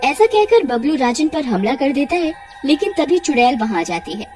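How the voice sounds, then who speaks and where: Female narrator, higher pitch, dramatic scripted storytelling, music bed. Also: big pitch swings, normal speed, darkish tone.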